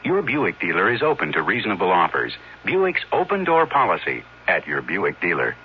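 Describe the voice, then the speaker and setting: A man's voice: sits low, huge pitch swings, normal speed, slightly rough. A man announcing a scripted 1970s car commercial in an emphatic sales style. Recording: muffled, faintly noisy radio broadcast.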